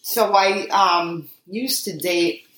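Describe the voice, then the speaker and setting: A woman's voice: fairly low pitch, takes it slowly, a bit bright. Blunt teasing New York woman co-host, echoey room, faint background noise. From Queens, New York. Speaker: podcast co-host. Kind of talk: casual podcast chat.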